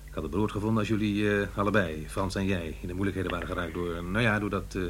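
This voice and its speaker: Hesitant, regretful middle-aged father, low-voiced. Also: steady tone, quick pace, a bit bright. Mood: awkward, tentative. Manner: hesitant, searching for words. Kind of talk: Dutch radio drama acting. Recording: noisy, humming radio play recording.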